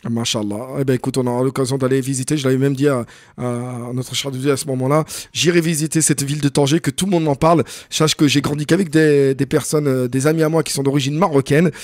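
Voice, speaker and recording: A man hosting a live radio interview, opening with upbeat greetings and then speaking haltingly with many fillers. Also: mid-range voice, fairly even intonation, a little mellow, no echo, clean studio sound. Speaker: radio host. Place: radio studio.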